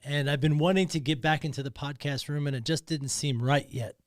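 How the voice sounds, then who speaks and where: A man's voice: a little high, fairly even intonation, brisk, dry recording. A man talking alone in a podcast studio, emotional and emphatic, often repeating himself. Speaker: podcast host. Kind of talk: personal monologue.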